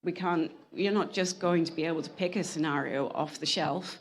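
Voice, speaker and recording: A woman's voice: low voice, normal speed. A woman speaking earnestly on a conference panel, often restarting her sentences. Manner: earnest, with frequent restarts and self-corrections. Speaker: panel speaker, climate scientist and engineer.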